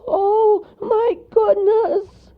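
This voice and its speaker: A man voicing a small, excitable and fretful male woodland animal, easily startled, in a children's story. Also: sits high, a little slow, phone-quality sound, pitched above the performer's own voice. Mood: shocked.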